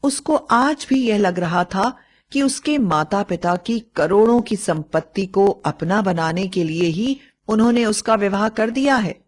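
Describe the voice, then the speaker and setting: A woman storyteller reading a scripted moral bedtime story. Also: medium pitch.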